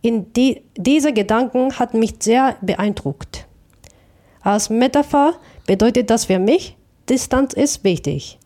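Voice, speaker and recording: Japanese woman w accent answering interview questions, mellow tone, thoughtful, stops and restarts words. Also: a little high. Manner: thoughtful, halting with self-corrections. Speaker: interview guest, artist.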